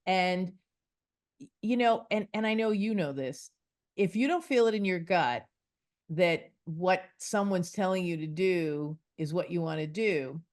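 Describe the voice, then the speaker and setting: A woman's voice: fairly low pitch, measured pace, darkish tone. Chatty woman hosting an interview. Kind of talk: conversational interview.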